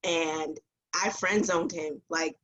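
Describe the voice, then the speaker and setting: A woman's voice: deep voice, fairly even intonation, slow, fairly bright tone, highs cut off. A woman in her thirties co-hosting a casual podcast, talking frankly and straight up. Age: 30s. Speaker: podcast co-host. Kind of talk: casual group chat.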